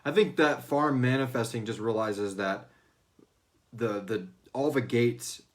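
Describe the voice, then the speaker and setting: Casting: male co-host for a relaxed fan chat, mid-range voice, informal delivery, free with swearing. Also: medium pitch, unhurried. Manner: informal, swearing, acts out quotes. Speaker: host and series creator.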